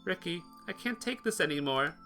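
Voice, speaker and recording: A man's voice: on the high side, expressive, moderate pace, sharp tone, soft background music, pitched above the performer's own voice. A man voicing a young girl from a visual novel, childlike, tearful and pleading in short, simple sentences.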